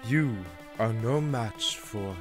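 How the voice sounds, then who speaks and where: A man's voice: medium pitch, takes it slowly, soft background music, lightly acted. A man playing an arrogant, vengeful villain in a fantasy audio drama, with a haughty, menacing delivery. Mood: bored contempt. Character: arrogant vengeful male villain, sneering and theatrical.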